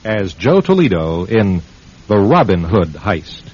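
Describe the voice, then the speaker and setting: A man's voice: fairly low pitch, very animated, unhurried. A man hosting and narrating a radio suspense program in a scripted, dramatic storytelling style. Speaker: host and narrator. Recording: muffled old radio broadcast. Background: loud dramatic music.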